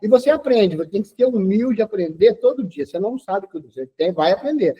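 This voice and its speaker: Older male interview guest, 60s, instructive and talkative; high, husky voice. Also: expressive, fairly quick, muffled recording. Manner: lecturing, tags sentences with "tá?". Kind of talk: casual interview talk.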